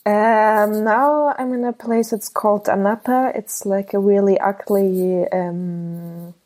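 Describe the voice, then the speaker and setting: A woman's voice: mid-range voice, fairly even intonation, takes it slowly, a little mellow. A woman in her twenties from Germany, a photographer answering interview questions in a hesitant, casual way with many fillers. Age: 20s. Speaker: guest photographer.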